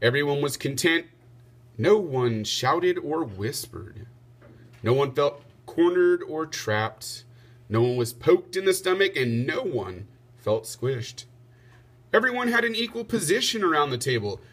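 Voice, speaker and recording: Bright-voiced man reading a storybook. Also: a little high, lively intonation, measured pace, slightly rough. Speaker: teacher reading aloud. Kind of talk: expressive storybook narration.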